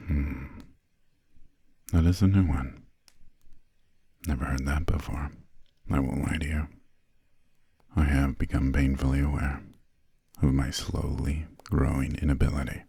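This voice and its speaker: A man playing an imperious, centuries-old vampire lord in an ASMR roleplay, courteous but condescending, with formal, measured, soft speech and a breathy, gravelly voice close to the microphone. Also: very deep voice, steady tone, no room sound, heavily acted. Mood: condescending, wry. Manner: slow, measured, formal, haughty, drawn-out pauses. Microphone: close, dry studio microphone.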